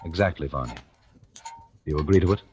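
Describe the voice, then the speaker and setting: An actor in a 1940s radio thriller playing a coolly calculating, murderous husband, measured and sardonic in manner. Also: male voice, rumbling low, a little mellow, breathy edge, heavily acted. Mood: coolly menacing. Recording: noisy, clicking old radio recording.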